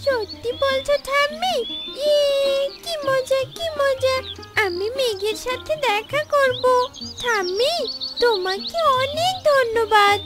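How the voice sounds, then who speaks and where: A very high, childlike little bird girl for a children's cartoon. She is innocent and eager, with a pleading way of talking. Music plays prominently behind her. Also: expressive, takes it slowly, full character voice. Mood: delighted.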